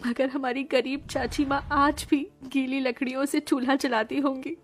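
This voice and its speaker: A high-pitched actress as a plaintive woman from a humble family in a cartoon drama. She speaks earnestly and melodramatically, with music playing underneath. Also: steady tone, measured pace. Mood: tearful, plaintive. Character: women of a poor family drama: tearful young niece, careworn aunt. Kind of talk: Hindi cartoon story voice acting.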